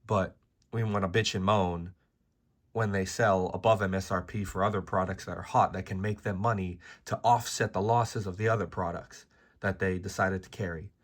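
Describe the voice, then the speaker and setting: A man with a hoarse, worn-out voice from being sick, delivering a blunt, profane, exasperated rant to camera as a card-game video host. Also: sits low, fairly even intonation, normal speed. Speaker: trading card game YouTuber. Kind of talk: ranting video commentary.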